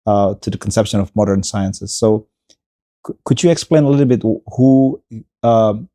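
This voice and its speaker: A man's voice: lowish voice, normal speed, dark tone, dry recording, studio quality. A man hosting an interview, asking earnest questions with stammering restarts. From Indonesia. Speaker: podcast host.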